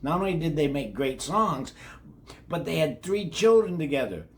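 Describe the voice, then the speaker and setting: A man's voice: a little high, big pitch swings, moderate pace. An older man reading a children's book aloud in a folksy way, with chatty asides to his young listeners. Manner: folksy, addresses children directly, repeats words. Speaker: children's read-along host.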